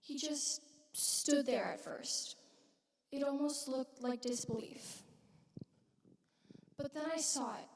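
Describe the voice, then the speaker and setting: A woman playing a widowed young mother from biblical Galilee in a dramatic church monologue, speaking earnestly and haltingly with weighted pauses. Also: sits high, fairly even intonation, a little hiss or hum. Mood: awed. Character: widowed young Galilean mother, wounded, reverent witness of Jesus. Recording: echoey hall, distant microphone.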